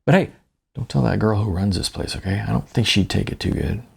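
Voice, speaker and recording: A man's voice: on the low side, fairly quick, gravelly, slight background noise. A man voicing a hearty, stout middle-aged guitarist in an audiobook, with folksy, colloquial, chummy talk. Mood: frank, conspiratorial.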